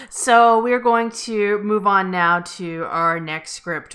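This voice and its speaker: A woman with a voice a bit lower than most hosts a casual table read. She talks informally and chattily as she introduces and hands over. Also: fairly bright tone. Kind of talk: casual hosting.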